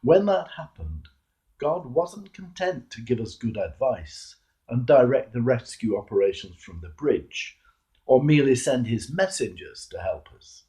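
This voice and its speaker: An older man with a British accent, a clergyman reading a homily aloud in a measured, earnest way with deliberate pauses and emphasis. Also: medium pitch, sing-song, dark tone.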